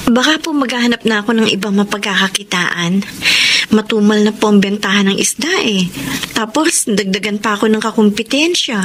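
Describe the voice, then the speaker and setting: A woman's voice: medium pitch, fairly quick, lightly acted. An actress playing a polite, hesitant young single mother in a Tagalog radio drama. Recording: faint background noise.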